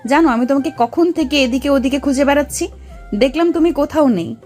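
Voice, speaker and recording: A woman's voice: sits high, a little fast, darkish tone, soft background music, lightly acted. A woman playing an affectionate, anxious young woman in an audio love story. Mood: mildly scolding.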